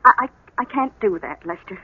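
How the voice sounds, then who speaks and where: An American actress playing a fiery, protective small-town schoolteacher wife in a radio drama, emphatic and scolding. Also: female voice, mid-range voice, lively intonation, brisk, husky. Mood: troubled. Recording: thin lo-fi old radio broadcast.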